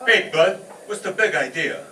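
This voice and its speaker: A man playing a belligerent, protective father in an old-time radio comedy, loud, emphatic and combative. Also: high-pitched, lively intonation, normal speed. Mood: challenging. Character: belligerent, protective neighbourhood father spoiling for a fight. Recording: noisy, roomy, distant stage recording.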